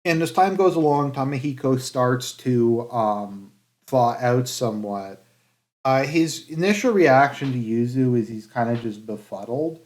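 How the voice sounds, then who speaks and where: A man with a mid-pitched voice delivers casual commentary as a reviewer. He speaks in a halting, hedging way, often letting phrases trail off as he explains things. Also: animated, darkish tone. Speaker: anime reviewer.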